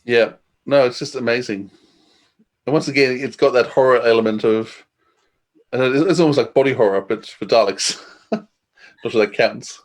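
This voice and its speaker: Enthusiastic male podcast host, mid-pitched. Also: moderate pace, long pauses. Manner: enthusiastic, admiring. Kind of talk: casual interview.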